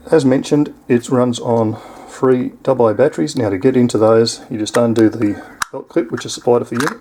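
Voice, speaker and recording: A man's voice: mid-range voice, dark tone, slight background noise. A man with an Australian accent giving a casual, unscripted product demonstration in an easygoing, explanatory way. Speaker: product reviewer.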